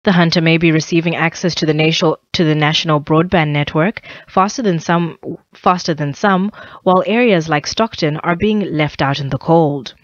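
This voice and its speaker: A woman's voice: low-pitched, dry recording, lo-fi sound. A woman reading a scripted radio news bulletin, occasionally stumbling over phrases. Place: dry radio studio.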